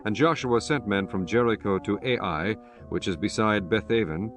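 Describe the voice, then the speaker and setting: A man reading scripture as the narrator of a dramatized audiobook, in a solemn, measured manner. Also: on the low side. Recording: music behind the voice.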